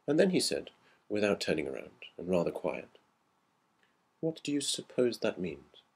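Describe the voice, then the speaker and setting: A man acts out a schoolboy in a storybook ghost tale, puzzled and earnest. The voice is husky and swings widely in pitch, chatty and full of slang. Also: very animated, normal speed, full character voice. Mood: confiding. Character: schoolboy recounting an odd episode, puzzled and earnest. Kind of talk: audiobook character dialogue in a ghost story.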